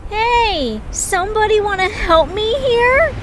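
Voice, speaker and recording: A grumpy, sarcastic female adventurer, played by a woman, speaks high and slowly. Every phrase is dry and sharp-tongued, in audio drama style over faint noise. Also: lively intonation, exaggerated voice. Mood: irritated.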